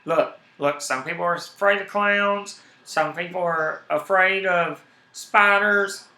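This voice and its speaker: A man's voice: high voice, slow, echoey room. Southern man ranting on a live stream. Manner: rambling, animated, repeats words when worked up. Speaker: YouTube streamer. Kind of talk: casual live-stream chat.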